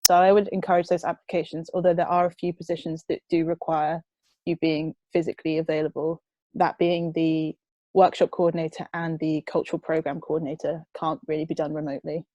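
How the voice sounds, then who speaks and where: Young woman, low mellow voice, chatty and hesitant, video call Q&A, dry sound. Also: little pitch movement, brisk, dry recording, dull audio. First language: not Dutch. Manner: chatty, laughs often, hesitant. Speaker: presenter of a food waste charity. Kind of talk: online Q&A answering.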